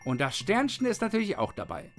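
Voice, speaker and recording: A woman's voice: medium pitch, wildly swooping pitch, fairly quick, faint music behind. A woman voicing a kind, caring little cloud in a children's audio story, friendly and earnest. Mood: kindly.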